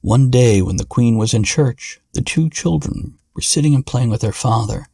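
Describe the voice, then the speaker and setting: A man narrating a fairy tale in a measured, dramatic storytelling manner. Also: mid-range voice, expressive, vocal fry, warm tone, slightly rough, no echo, clean studio sound. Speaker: podcast narrator.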